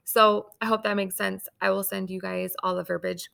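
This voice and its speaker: Brief: female team leader, chatty and upbeat, strong gravelly fry, slightly scratchy throat. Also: medium pitch, flat delivery, normal speed, fairly bright tone. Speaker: team leader of sales consultants. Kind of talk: casual training talk.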